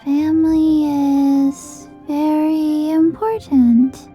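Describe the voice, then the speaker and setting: A small undead girl from an anime game, speaking extremely slowly and flatly. The childlike voice is muted and mellow, deadpan yet sweet. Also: sits high, soft background music. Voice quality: soft, childlike. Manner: slow, deadpan.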